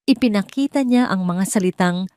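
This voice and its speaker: A woman's voice: medium pitch, normal speed, a little mellow, dry recording, clean studio sound. A woman narrating a scripted dramatic love story as an audio drama.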